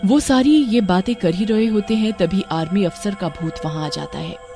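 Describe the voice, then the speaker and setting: A woman's voice: mid-range voice, normal speed, dark tone, soft background music. A woman narrator reading a scripted story.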